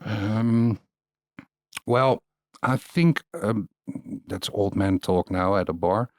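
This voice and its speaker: Dutch man with Amsterdam accent, low voice, dry close sound; DJ guest, relaxed and rambling. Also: slow, dry recording. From Netherlands. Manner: relaxed, rambling, laughs often, many fillers. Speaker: DJ and producer guest. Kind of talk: casual interview chat.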